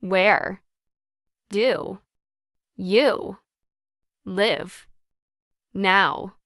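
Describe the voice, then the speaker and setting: A woman's voice: mid-range voice, extremely slow, fairly bright tone, no echo, studio quality. A woman reading short English phrases for learners, first whole, then word by word, then whole again. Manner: careful word-by-word dictation. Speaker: English teacher. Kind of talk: slow model sentences for repetition drills.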